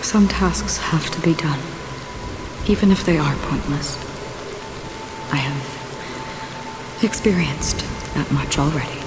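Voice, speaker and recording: A woman voicing a calm, solemn swordswoman who guides departed souls, speaking in a measured, soft-spoken way in a video game scene. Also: low voice. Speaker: game character. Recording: game clip with loud music. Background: game score music.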